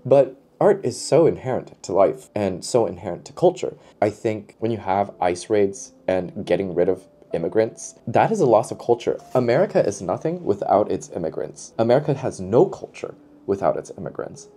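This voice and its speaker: A man's voice: on the low side, sing-song, normal speed, dark tone, breathy edge. A man talking casually to camera as a book vlogger, playful and emphatic, often repeating a phrase for effect.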